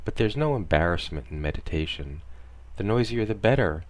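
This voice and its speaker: A man narrating a scripted parody guided meditation in a deadpan, soothing instructional manner. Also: sits low, fairly quick.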